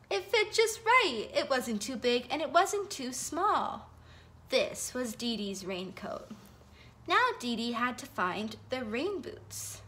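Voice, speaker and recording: A young woman reads a children's felt board story in a high, bouncy voice that swoops up and down. She takes her time, dropping to whispers and gasping for effect. It sounds like a phone recording made in a living room. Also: takes it slowly. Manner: sing-song, expressive, gasps and whispers for effect. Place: home living room, indoors. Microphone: phone camera held over the felt board.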